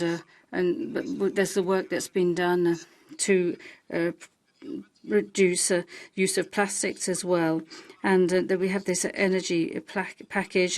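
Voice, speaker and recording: A woman interpreting a parliamentary speech live, halting, with many filler sounds and restarts. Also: lowish voice, a little mellow. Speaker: simultaneous interpreter.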